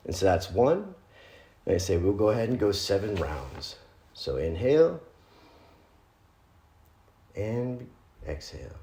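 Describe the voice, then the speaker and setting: Male meditation guide: lowish, mellow voice, gentle and unhurried delivery with pauses, recorded with noticeable room echo. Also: very animated. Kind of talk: guided meditation, spoken instruction. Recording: roomy, echoing room sound.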